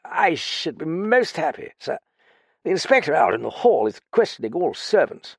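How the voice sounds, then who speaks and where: Drunk pompous ex-officer, voiced by a male reader: high, blustering, husky and grand. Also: very animated, normal speed, dry recording, studio quality, full character voice. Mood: grandly drunk. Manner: slurred dignity, giggling, blustering. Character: drunk pompous ex-officer secretary, lanky, vague.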